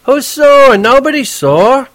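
A man voicing an impatient middle-aged cab driver who talks rough street slang and keeps yelling. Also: high voice, expressive, moderate pace, no echo, voice pushed up, low-quality recording. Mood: irritated, yelling.

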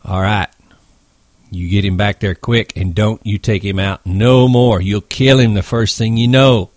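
A man voicing a gruff, protective ranch hand who talks in a blunt, folksy, emphatic way in a story. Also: lowish voice, fairly even intonation, measured pace, no echo, lightly acted. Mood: stern.

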